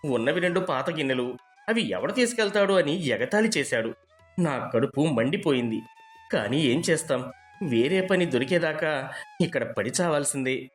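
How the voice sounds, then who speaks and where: A man's voice: fairly high pitch, expressive, moderate pace, soft background music, lightly acted. A man playing a poor, loyal village gardener in a story, deferential and weary in manner. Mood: bitter, resigned. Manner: deferential, lamenting.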